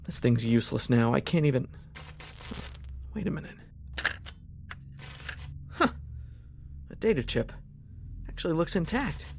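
Wry space lieutenant, gravelly, noisy track. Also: male voice, on the high side, sing-song, measured pace, pauses often, full character voice. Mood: exasperated, curious. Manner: sardonic muttering to himself, sighing, breaking into shouts. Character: wry, scrappy male space lieutenant alone on a hostile ship. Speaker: narrating protagonist. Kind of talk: science fiction audio drama acting.

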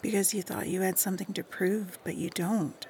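A woman's voice: medium pitch, steady tone, moderate pace, a little mellow, a little hiss or hum, natural voice. A woman acting in an audio drama as a tender, nostalgic young woman speaking intimately of childhood friendship. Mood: gentle. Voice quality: husky, breathy, creaky.

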